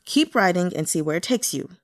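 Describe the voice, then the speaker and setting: A woman voicing a curt, listless male book editor in an audiobook, measured and critical. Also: high-pitched, lively intonation. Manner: measured, dismissive. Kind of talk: audiobook character dialogue.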